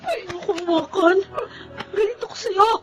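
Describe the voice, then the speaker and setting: A woman's voice: very high voice, unhurried, music in the background. A woman playing a bitter, surly young housemaid and abandoned daughter in a Filipino melodrama, curt and accusing. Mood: tearful, accusing. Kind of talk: Filipino radio-style melodrama acting.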